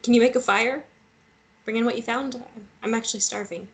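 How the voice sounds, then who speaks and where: A woman's voice: a little high, steady tone, fairly quick, lightly acted, poor audio. An actress playing a bold, teasing, injured young woman in a romance table read, with a naturalistic, playful delivery. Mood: frank.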